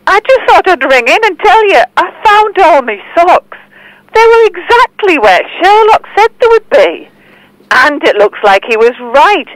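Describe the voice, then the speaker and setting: A woman's voice: extremely high pitch, sing-song, unhurried, no echo, full character voice, poor audio. An actress playing a friendly woman phoning in to a podcast in a scripted comedy audio drama. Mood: pleased. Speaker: phone-in caller.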